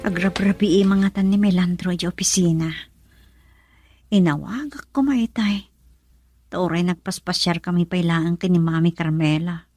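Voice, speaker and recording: A woman's voice: lowish voice, normal speed, darkish tone, faint music behind, close to an everyday voice. An actress playing a sorrowful married woman longing for a child in a melodramatic Ilocano radio drama, with a Filipino accent. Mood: brooding, hurt.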